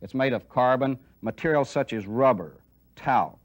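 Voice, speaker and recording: A middle-aged American man giving a technical lecture as a military instructor, deliberate and methodical, stressing key points by repeating them. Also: medium pitch. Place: indoor training film classroom studio with chalkboard and desk.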